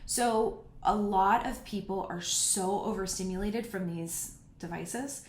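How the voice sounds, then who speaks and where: A woman's voice: mid-range voice, takes it slowly, darkish tone. A woman in her thirties hosting a podcast, talking frankly and casually as she answers listener questions. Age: 30s. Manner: frank and candid, speaks bluntly about personal topics. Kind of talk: casual solo podcast talk. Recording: roomy echo.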